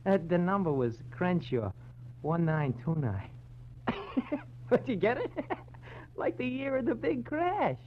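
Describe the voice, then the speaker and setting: A small-time barfly tipster from a radio crime show, eager and wheedling. His pitch leaps all over as he stammers and giggles. The old recording is dull and noisy, with a hum. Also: very animated, slow pace, heavily acted. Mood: sly, giggly.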